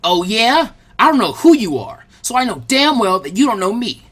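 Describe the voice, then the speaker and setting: Someone doing a cocky young ninja kid, loud n easily insulted, pitch jumps around. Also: very animated, moderate pace, breathy edge. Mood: cross, indignant. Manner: shouting, cross, defiant. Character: brash, hot-headed young boy ninja, easily insulted. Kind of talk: expressive fan fiction character reading.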